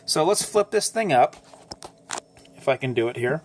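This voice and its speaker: A man's voice: mid-range voice, expressive, slight background noise. A man talks through his repair of an old tube radio at an easy pace, stopping often for ums and uhs and fixing his own words as he goes. His breathing has a slight wheeze from asthma. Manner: halting, many ums and uhs, corrects himself. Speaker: amateur radio operator restoring an old radio. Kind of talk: casual how-to explainer.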